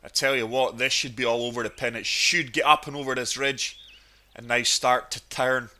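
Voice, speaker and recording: Guy with a sniffly cold, really bright tone, chatty golf game commentary. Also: mid-range voice, fairly even intonation, moderate pace. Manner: chatty, enthusiastic golf play-by-play. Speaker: gaming channel host. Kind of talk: gameplay commentary.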